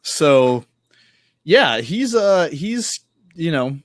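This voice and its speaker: A man chatting casually as a podcast guest, halting and thinking aloud, correcting himself and swearing offhandedly. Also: on the high side, sing-song, dry recording, clean studio sound. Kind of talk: casual chat about comics.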